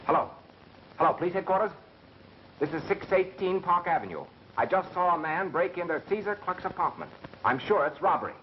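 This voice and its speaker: An actor playing a brisk, keen young American amateur sleuth in 1930s film melodrama style. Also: male voice, high-pitched, lively intonation. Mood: urgent. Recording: dull scratchy 1930s film soundtrack, music.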